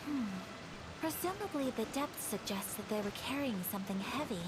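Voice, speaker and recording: Game role: young clan lady woman performer, highish voice, courteous and composed, formal diction with quiet authority. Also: expressive, over loud music, lightly acted. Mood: thoughtful. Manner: formal, measured, refined. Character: poised young noblewoman, dignified, polite, quietly authoritative. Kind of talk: video game voice acting.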